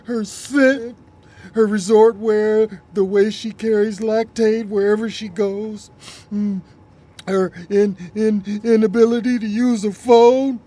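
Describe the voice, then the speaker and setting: Ship captain for audio comedy: unhurried, middle-pitched, melodramatic and lovestruck. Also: female voice, fairly even intonation, mellow. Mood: lovestruck, gushing. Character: lovestruck ship captain facing forced retirement. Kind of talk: audio drama comedy acting.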